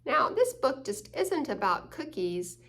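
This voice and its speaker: A woman's voice: high-pitched, very animated, a little slow, vocal fry, fairly bright tone. A woman hosting a children's story time, reading a picture book aloud and explaining it with questions to the young listeners.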